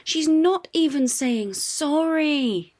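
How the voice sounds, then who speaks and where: A woman voicing a stubborn, excitable young girl cartoon puppy in an emphatic, indignant, playful way for a children's storybook. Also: high voice, slow pace, voice pushed up.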